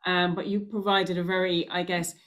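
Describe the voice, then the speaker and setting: A woman's voice: fairly low pitch, flat delivery, moderate pace, a bit bright. A woman in her thirties moderating an online panel, wrapping up with hesitant speech full of ums and restarts over a video call. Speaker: host and moderator. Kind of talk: closing remarks of a panel.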